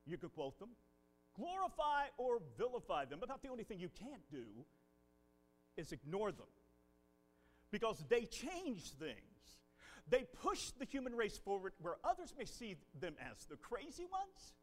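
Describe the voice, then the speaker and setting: A man, a visiting preacher leading a Bible class and prayer in a folksy, animated way. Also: sits high, normal speed. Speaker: guest preacher. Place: church building.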